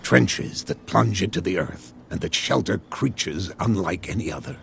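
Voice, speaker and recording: A man's voice: deep voice, animated, normal speed, gravelly, a bit bright, a little hiss or hum, exaggerated voice. A man voicing a superstitious old sailor at the docks, knowing and teasing, in a video game.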